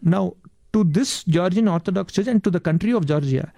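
A man's voice: high-pitched, brisk, vocal fry, warm tone, no room sound, clean studio sound. A man with an Indian accent, a native Tamil speaker, giving earnest interview answers and often saying "yes" and "ma'am". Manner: earnest, repeats words, addresses host as ma'am. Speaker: guest.

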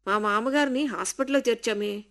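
A woman's voice: on the high side, brisk, a bit bright, natural voice. Woman reading as a worried working woman. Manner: anxious, tired.

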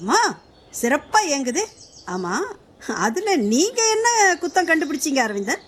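A woman's voice: sits high, sing-song, a little slow, slight background noise. A woman playing a proud, touchy young factory owner in a scripted drama, speaking emphatically and formally. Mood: indignant, offended. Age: young adult.

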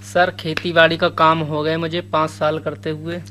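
Young male farmer guest with a high, flat voice and respectful answers. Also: moderate pace, a little hiss or hum. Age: 20s.

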